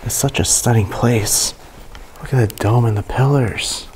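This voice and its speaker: A young man narrating a walkthrough of an abandoned mansion to a handheld camera, hushed and awed by what he finds. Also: mid-range voice, unhurried, a little hiss or hum, rough recording. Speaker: urban explorer vlogger. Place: inside a large abandoned mansion.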